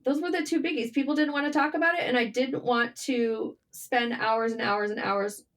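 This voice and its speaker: Female podcast guest, higher-pitched voice, informal interview storytelling with an animated conversational delivery. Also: moderate pace. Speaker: podcast guest, writer and journalist. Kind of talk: casual interview chat.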